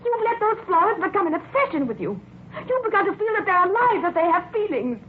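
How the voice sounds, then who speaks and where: A jealous, fretful wife in a forties radio play. Her high voice swoops emotionally as she pleads. The old broadcast recording is narrow and muffled, with some hiss. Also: sing-song, fast talker. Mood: upset, insistent. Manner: plaintive, emotional. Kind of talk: 1940s radio drama acting.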